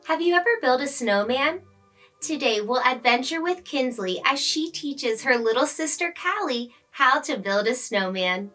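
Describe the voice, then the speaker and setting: Slow, expressive woman reading a story. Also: sits high, faint music behind. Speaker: children's story reader. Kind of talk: bedtime read-aloud narration.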